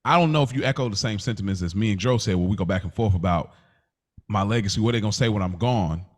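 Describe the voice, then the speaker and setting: Male podcast host, slightly low voice, reflective and conversational, with easy slang. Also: fast. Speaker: podcast host posing questions.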